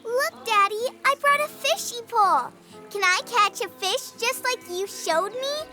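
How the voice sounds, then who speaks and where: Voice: very high voice, animated, a little slow, music in the background. A performer playing a sweet, eager little girl with simple childlike speech in an audio drama. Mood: excited, sweet.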